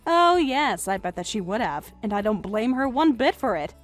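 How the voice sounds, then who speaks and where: A woman's voice: fairly high pitch, sing-song, moderate pace, soft background music. A woman voicing a mocking, self-assured jilted young woman in a melodramatic story, sarcastic and condescending. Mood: smug, bitter. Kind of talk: melodramatic narrated story dialogue.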